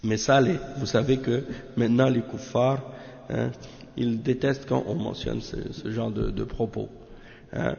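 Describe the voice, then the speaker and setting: A man with a cold teaching a religious lesson. He reads Arabic passages quickly, then explains them slowly in French, often repeating words and correcting himself. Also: medium pitch, steady tone, vocal fry, a little mellow. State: has a cold. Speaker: teacher of Quran exegesis. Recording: dull lo-fi recording with long reverb.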